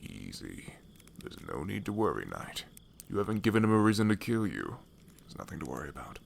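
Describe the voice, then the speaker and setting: Guy doing wry older traveler, gravelly low voice that swings around a lot, fantasy audio roleplay. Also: big pitch swings, a little fast, heavily acted. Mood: assured, storytelling. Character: older male wanderer, wry, worldly, teasing peacemaker.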